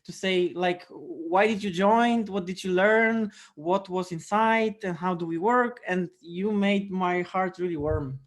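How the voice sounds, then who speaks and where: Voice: medium pitch, measured pace, hardly any pauses. A course instructor and host talking casually and conversationally.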